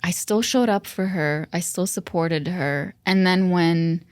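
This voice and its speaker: A woman whose first language is Arabic talks through a personal story on a podcast. Her voice sits low, and her manner is reflective and earnest. The sound is dry and close to the mic. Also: measured pace, no room sound. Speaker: podcast co-host. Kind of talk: casual podcast conversation.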